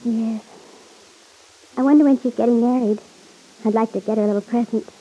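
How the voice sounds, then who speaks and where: A woman playing a gentle, anxious, secretive young woman in an old-time radio serial, speaking hesitantly and earnestly. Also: fairly high pitch, steady tone, brisk, husky, poor audio. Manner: hesitant, soft-spoken, earnest.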